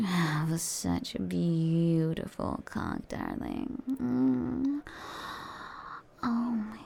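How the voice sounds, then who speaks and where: A woman whispers very slowly and close, in an ASMR-style roleplay. Her voice has a heavy gravelly fry and a dark, mellow tone. She sounds soothing and coaxing, with soft murmured hums. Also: mid-range voice. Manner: coaxing, soothing, playful, with pet names.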